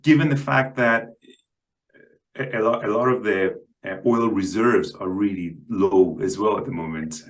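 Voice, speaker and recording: A man with a low, deep voice gives market analysis as a podcast guest. He explains carefully and conversationally, often doubling back on a word in a halting way. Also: low-quality recording.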